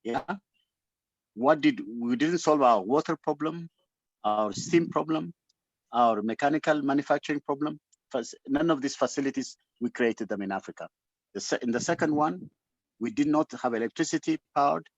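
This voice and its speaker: A man's voice: medium pitch, normal speed, no echo. Man, Ethiopian accent, impassioned speaker, exhortative, repeats for emphasis, dry close sound, muffled lo-fi online call. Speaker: webinar speaker. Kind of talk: impassioned motivational address, largely unscripted. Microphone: online call microphone. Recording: dull, lo-fi webinar audio.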